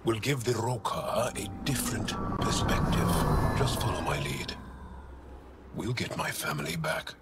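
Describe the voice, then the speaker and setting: A man's voice: deep voice, lively intonation, unhurried, very noisy. A man voicing a determined, protective alien warrior in a video game, speaking with intense resolve. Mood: resolute.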